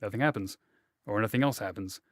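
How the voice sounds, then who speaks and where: A man's voice: lowish voice, fairly quick, no room sound, studio quality. A man hosting a casual interview, asking long rambling questions with hesitations and restarts. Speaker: interviewer, host.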